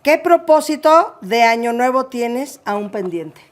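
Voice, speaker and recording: A woman's voice: a little high, animated, slow pace, a little hiss or hum. A middle-aged Mexican woman with a Mexican accent, hosting a casual interview in an affectionate, playful way. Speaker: interview host.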